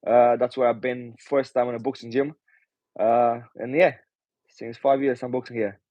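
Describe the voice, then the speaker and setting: A man's voice: mid-range voice, flat delivery, moderate pace, no room sound. A Romanian-born man in his twenties, a pro boxer, answering casually with frequent hesitations and "do you know". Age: 20s. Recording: dull muffled audio.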